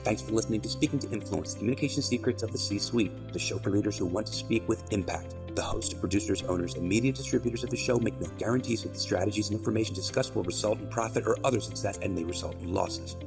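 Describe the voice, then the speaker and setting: A man's voice: on the low side, fast talker, creaky, hardly any pauses. A man announcing, reading a scripted disclaimer in a formal, unbroken delivery. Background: music.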